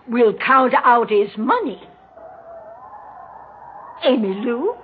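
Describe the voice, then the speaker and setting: An actress in her eighties playing a genteel, gently fussy, affectionate old widowed mother in a 1960s radio drama. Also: fairly high pitch. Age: 80s. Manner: unhurried, gently fussy, theatrical. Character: genteel, calm, affectionate, slightly dotty elderly widowed mother. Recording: muffled, noisy 1960s radio recording.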